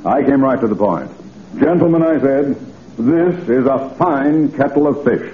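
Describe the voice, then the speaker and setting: Man playing a pompous, very animated radio mayor. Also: mid-range voice, unhurried, a crowd in the background, close to an everyday voice. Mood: dignified. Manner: formal, precise, pompous, prone to sputtering. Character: dignified, wordy male town mayor, easily flustered and exasperated. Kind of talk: old-time radio comedy acting. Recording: tinny, echoey old radio with audience.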